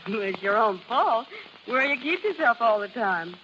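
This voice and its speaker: Wisecracking carnival dame, muffled recording. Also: female voice, fairly high pitch, sing-song, unhurried. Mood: flirtatious. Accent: American. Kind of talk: 1930s radio drama acting. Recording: dull, noisy 1930s radio recording.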